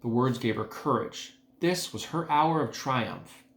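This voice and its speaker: Guy teacher reading a kids book aloud, casual, mid-pitched voice, echoey room. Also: animated, unhurried, creaky. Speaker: educator reading aloud to students. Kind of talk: casual address and storybook narration.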